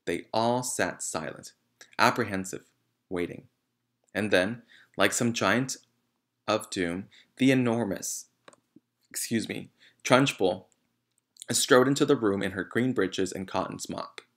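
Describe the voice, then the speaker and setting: Male storyteller, fairly deep voice, expressive read-aloud of children's fiction. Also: slow, pauses often. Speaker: audiobook-style story reader.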